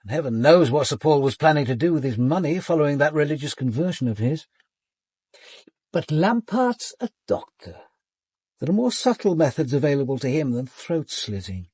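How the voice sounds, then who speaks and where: An actor playing a composed, probing senior British police commander in a radio drama, speaking in a measured, formal, reflective way. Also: male voice, fairly high pitch, big pitch swings, darkish tone, husky, dry recording. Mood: speculative, measured. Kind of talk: radio drama acting with first-person narration.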